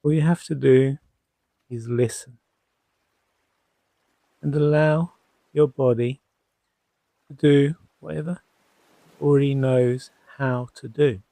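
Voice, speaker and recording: A man speaks as a hypnotherapist leading listeners toward sleep. His voice is mellow and creaky, in a dry, close recording. He is reassuring and unhurried, letting each short phrase settle before the next. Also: mid-range voice, fairly even intonation, dry recording. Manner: soothing, unhurried, gently reassuring, with long pauses. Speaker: hypnotherapist guiding a sleep session. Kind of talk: guided sleep hypnosis narration.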